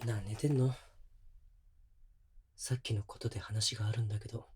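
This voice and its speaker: A man's voice: fairly low pitch, steady tone, a little fast, a little mellow, slightly rough. A male actor playing a defensive, hot-tempered young boyfriend in a voice drama, speaking bluntly and casually to the listener up close. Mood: subdued, gentle. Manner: blunt, casual masculine speech. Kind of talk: situation voice drama addressed to the listener. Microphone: close binaural microphone.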